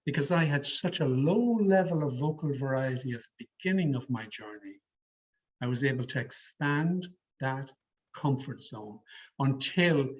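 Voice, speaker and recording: A man leading an online public speaking workshop in a deliberate, emphatic, varied delivery, as a trainer addressing his learners. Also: medium pitch, husky. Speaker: speech trainer giving an educational session. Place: online video call. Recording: slightly muffled, lo-fi video call audio.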